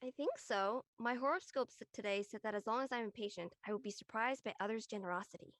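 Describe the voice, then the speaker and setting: A woman's voice: fairly high pitch, fast, a bit bright, no room sound, lightly acted. A woman playing a chirpy, curious and friendly teenage girl in a casual audio drama. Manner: casual, inquisitive.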